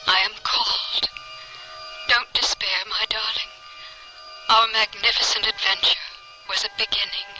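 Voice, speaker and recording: An actress playing the tender, yearning spirit of a departed wife in an old-time radio drama, with music behind. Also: female voice, medium pitch, level tone, pushed and bright, rough recording. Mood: tender farewell. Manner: slow, ethereal, yearning.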